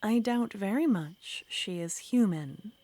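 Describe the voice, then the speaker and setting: Woman playing a slow, whispery yandere witch. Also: mid-range voice, lively intonation. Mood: soothing whisper. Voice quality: soft whispering, breathy. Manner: slow, sweetly menacing, teasing. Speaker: ASMR roleplay performer. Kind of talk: ASMR roleplay.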